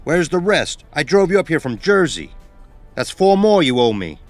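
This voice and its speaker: A man's voice: fairly high pitch, sing-song, normal speed, dry recording, soft background music. A man playing a blunt, demanding driver who wants his fare, in an audio drama. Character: male hired driver, pushy about his fare.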